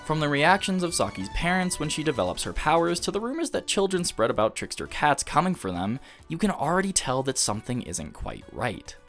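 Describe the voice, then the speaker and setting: Male video essay host, lighter high-ish register, animated intonation, scripted narration. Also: brisk, hardly any pauses, soft background music.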